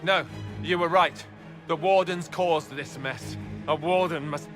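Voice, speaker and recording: An actor playing a brave, dutiful male warrior in a video game, with a wry and expressive delivery. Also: a little high, unhurried, over music, lightly acted. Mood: grave, urgent. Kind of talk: dramatic video game acting.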